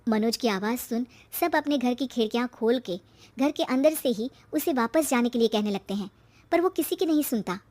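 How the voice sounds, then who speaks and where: High-voiced woman narrating a cartoon story. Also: lively intonation, moderate pace, a little mellow.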